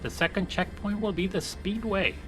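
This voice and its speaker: A man casually narrating his simulated flight, relaxed, with many fillers and restarts. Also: sits high, moderate pace. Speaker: flight sim streamer. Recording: music playing behind.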